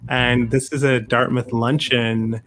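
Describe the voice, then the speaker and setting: A man's voice: mid-range voice, little pitch movement, slow pace, fairly bright tone, a little hiss or hum. A man co-hosting an online event in casual, loosely phrased conversational talk. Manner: informal, loosely phrased with frequent "like". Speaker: festival founder and co-host. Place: online video call.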